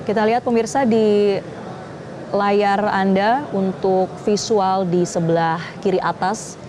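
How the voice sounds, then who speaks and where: A woman with an Indonesian accent anchoring live breaking news in a formal, measured way. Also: medium pitch, fairly even intonation, background noise.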